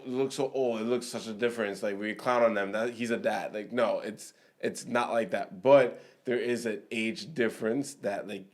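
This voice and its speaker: A young man talks casually as a podcast co-host, with a mid-range voice. He halts, restarts and trails off as he works out his point. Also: medium pitch. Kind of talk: casual chat.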